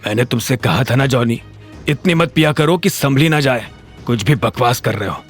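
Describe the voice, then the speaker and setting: A man's voice: medium pitch, lively intonation, normal speed, pitched below the performer's own voice, close to an everyday voice. Uneasy, reproachful male drinking pal for audio drama, voiced by a narrator, cautioning tone, music behind. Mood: scolding. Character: wary male drinking companion, scolding and uneasy. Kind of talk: Hindi audio drama character acting.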